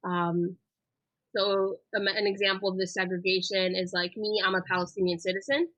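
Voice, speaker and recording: A woman's voice: mid-range voice, flat delivery, moderate pace, fairly bright tone, no room sound, rough recording. A woman in her twenties, raised in the United States, speaking earnestly as an interview guest, with frequent ums and uhs. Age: 20s. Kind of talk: casual spoken interview.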